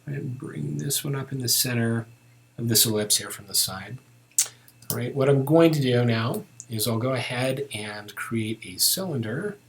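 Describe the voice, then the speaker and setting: A man giving a casual step-by-step software tutorial, thinking aloud informally as he works. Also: mid-range voice, moderate pace, vocal fry.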